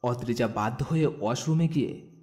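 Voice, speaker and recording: A man narrating a scripted romantic story with expressive storytelling delivery. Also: mid-range voice, brisk. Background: background music.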